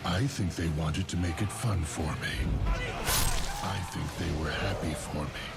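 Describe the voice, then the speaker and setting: Gravelly, deep psychotic killer. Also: male voice, loud music behind the voice. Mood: gloating menace. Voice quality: gravelly, menacing. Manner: slow, savoring, sinister. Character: sadistic psychotic killer man narrating his murders. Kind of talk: dark scripted game cutscene narration.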